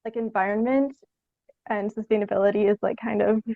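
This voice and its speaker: A woman's voice: mid-range voice, steady tone, brisk, creaky, no echo, phone-quality sound. A young woman answering interview questions in a hesitant, thoughtful, conversational way, often using "like" as filler. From Canada. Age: young adult. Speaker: podcast guest, theatre artist.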